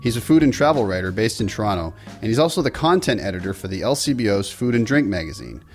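A man hosting a podcast, reading a scripted intro and then interviewing a guest, in a brisk, friendly manner. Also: lowish voice, very animated, faint music behind. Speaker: podcast host.

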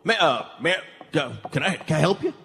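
A folksy small-town airport superintendent in a comedic radio play. He is eager and bumbling, high-pitched and swooping, with stammered reassurances that ring out in a reverberant theatre hall. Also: brisk, gravelly. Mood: flustered, reassuring. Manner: rustic, stammering, reassuring, excitable.

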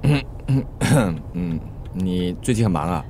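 A man acting in a radio drama as a shy, awkward young suitor who teases gently. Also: low voice, expressive, takes it slowly, a little hiss or hum.

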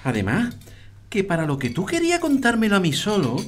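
A man's voice: sits high, wildly swooping pitch, brisk, slight background noise. A man playing a weary, peacekeeping middle-aged father with an accent from Spain in a naturalistic audio drama. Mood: weary, sardonic. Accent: Spanish from Spain.

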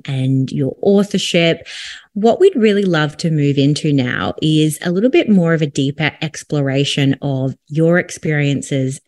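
A woman hosting a podcast, asking earnest, open questions with some fillers. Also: deep voice. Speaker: podcast host. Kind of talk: podcast interviewing.

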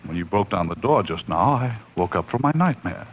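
A man's voice: low voice, lively intonation, brisk. An actor playing a haunted man unravelling into madness, melodramatic and overwrought in 1940s radio horror style. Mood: pleading. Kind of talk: 1940s radio horror acting, dramatic narration. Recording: muffled, faintly noisy 1940s radio recording.

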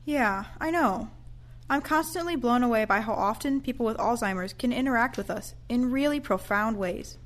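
A woman's voice: a little high, normal speed, lightly acted. A woman playing a cheerful, attentive care aide in an audio play, chatty and encouraging.

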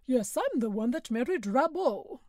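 A woman's voice: high-pitched, big pitch swings, normal speed, a little mellow, dry recording, studio quality. A woman reading a big, blunt peasant wife.

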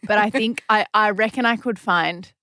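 A young Australian woman chatting casually as a podcast co-host, animated and teasing. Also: mid-range voice, normal speed, dry recording. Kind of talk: casual chat.

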